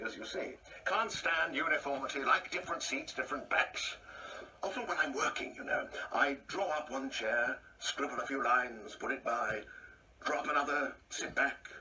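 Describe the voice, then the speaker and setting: An actor playing an ageing English gentleman in a radio play, pompous, brusque and uneasy, rambling in clipped phrases. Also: medium pitch. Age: older. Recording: distant mic, faint background noise.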